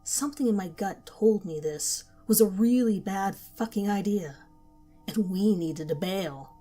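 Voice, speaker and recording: A woman's voice: medium pitch, unhurried, mellow. Woman narrating a horror story. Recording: faint background music.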